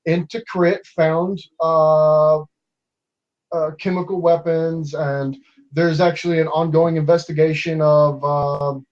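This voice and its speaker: A man's voice: fairly high pitch, level tone, slow, a little mellow, dull audio. A man in his twenties debating online in a casual way, with many restarts and fillers as he argues. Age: 20s.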